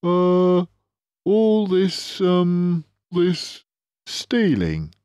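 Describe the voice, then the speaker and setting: A mild, worried, respectable badger, put on by a man reading a storybook. The voice is mellow and extremely slow, hesitating earnestly as it picks through each phrase. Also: sits high, sing-song, smooth, no echo, studio quality, pitched above the performer's own voice, full character voice. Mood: worried, hesitant. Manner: hesitant, deliberate, bumbling. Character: gentle, anxious, respectable male badger, loyal and slow.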